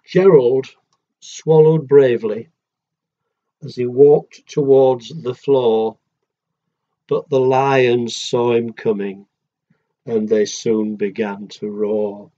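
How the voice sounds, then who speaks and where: Slow, expressive older male storyteller. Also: medium pitch, lo-fi sound. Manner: expressive, drawn-out delivery with asides to children. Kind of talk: reading a children's picture book aloud. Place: small wooden shed, indoors.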